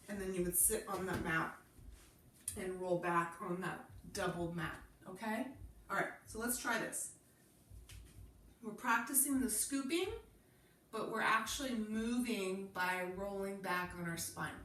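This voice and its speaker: A woman with a stuffy head from a cold, calmly leading a Pilates class and cueing each movement while she demonstrates. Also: mid-range voice, roomy sound, recorded from a distance, slight background noise. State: congested from a cold. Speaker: Pilates instructor. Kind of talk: guided exercise class instruction.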